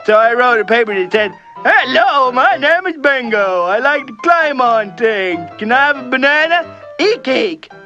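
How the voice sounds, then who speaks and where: A man voicing a dim, childlike cartoon creature who loudly declaims a silly school story. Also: extremely high pitch, big pitch swings, normal speed, lo-fi sound, soft background music, pitched above the performer's own voice, heavily acted. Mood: goofy, excitable.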